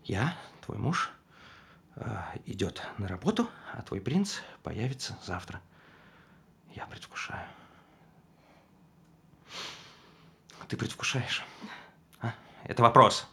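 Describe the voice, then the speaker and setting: An actor playing an excitable, scheming husband who boasts and repeats himself in a stage play. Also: male voice, medium pitch, measured pace, creaky, pauses often, breathy edge, full character voice. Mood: playful. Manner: excited, repeats himself, bursts into loud exclamations.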